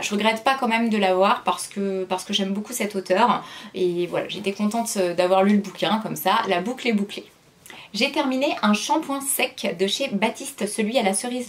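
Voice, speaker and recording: A woman in her thirties chatting casually through a product review, full of hesitations and restarts. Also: on the high side. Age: 30s. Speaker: vlogger.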